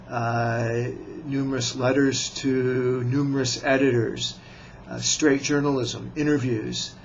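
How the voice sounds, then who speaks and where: A man in his fifties from the United States speaks slowly and deliberately to the camera, with a gravelly edge and a flat, level tone. He pauses often as he introduces himself as a writer. The recording is muffled and lo-fi, with a faint hum behind him. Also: medium pitch. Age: 50s. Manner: slow, measured and deliberate, with many hesitant pauses. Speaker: writer and vlogger.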